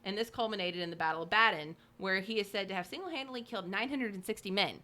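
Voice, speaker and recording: A woman's voice: medium pitch, fast talker, pushed and bright. A woman co-hosting a podcast, reading from notes with chatty, informal asides and some stumbles over names. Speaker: podcast co-host.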